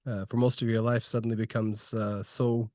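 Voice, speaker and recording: Halting male interviewer, dry close sound. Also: on the low side, flat delivery, darkish tone, dry recording. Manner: halting, hesitant phrasing with many pauses. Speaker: interviewer, host. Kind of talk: interview questions.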